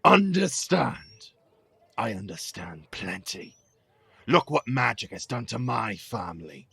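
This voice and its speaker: Man acting a gravelly, domineering angry father. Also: lowish voice, sing-song, slow pace, fairly bright tone, no room sound, full character voice. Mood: bitter, indignant. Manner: confrontational, demanding. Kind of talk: audio drama acting.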